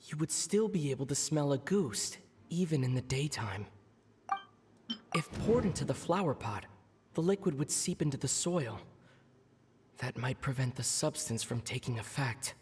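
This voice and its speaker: An actor voicing a calm, methodical video game character who examines clues. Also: mid-range voice, natural voice. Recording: noisy audio with long reverb.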